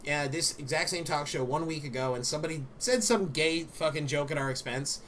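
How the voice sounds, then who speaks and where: Man, talk show co-host, animated storyteller, lots of fillers, faint noise behind. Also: a little high, a little fast. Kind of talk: casual chat.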